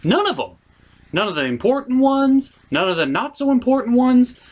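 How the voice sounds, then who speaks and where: Very animated, sarcastic male reviewer. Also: extremely high pitch, moderate pace. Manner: sarcastic, emphatic. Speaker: comic movie reviewer. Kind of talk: scripted comedic recap and critique.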